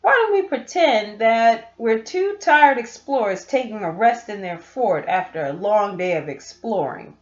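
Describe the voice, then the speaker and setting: A woman's voice: medium pitch, lively intonation, a little slow, few pauses, lightly acted. A woman voicing a playful, kindly dad who joins in his son's pretend game, good-humoured and indulgent, in a storybook. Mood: tired, warm. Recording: roomy, slightly dull home recording.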